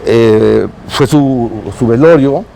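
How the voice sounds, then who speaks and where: A man being interviewed on a city street as a consul, talking slowly with many pauses and fillers. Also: mid-range voice, vocal fry, mellow, a little hiss or hum. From Mexico. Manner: hesitant, many fillers and restarts.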